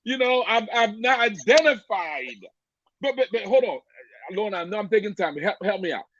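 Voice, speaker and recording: A man from Cameroon sharing a personal faith testimony as a podcast guest, animated, stumbling over repeated words and laughing often. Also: sits high, normal speed, no room sound. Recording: dry, slightly dull lo-fi audio.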